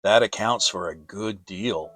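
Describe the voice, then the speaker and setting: A man voicing a gloomy old gray donkey in a children's storybook, mournful and resigned, with a slow sighing delivery. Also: on the low side, a bit bright, faint music behind, voice pushed down. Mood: resigned gloom. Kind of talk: dramatic children's audiobook reading.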